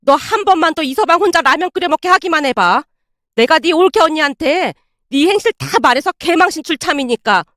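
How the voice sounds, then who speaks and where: A woman's voice: high-pitched, lively intonation, a little fast, no room sound, studio quality. A woman playing a blunt, scolding older mother-in-law. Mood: furious scolding. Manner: indignant, blunt, berating.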